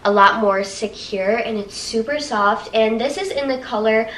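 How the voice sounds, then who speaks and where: A woman's voice: fairly high pitch, normal speed, a bit bright, slight background noise, poor audio. A young woman with a stuffy, nasal voice from a cold, chatting cheerfully to camera as she shows baby items in her living room. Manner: chatty, upbeat, laughs often. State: congested, nasal. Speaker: vlogger. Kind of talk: casual haul video. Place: living room, seated on the floor. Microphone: camera held at arm's length, then a camera across the room.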